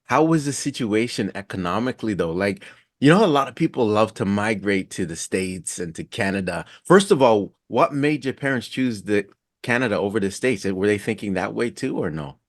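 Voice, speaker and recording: A man's voice: on the low side, animated, moderate pace, no echo, clean studio sound. A man hosting a casual podcast interview, asking questions in an informal, inquisitive way.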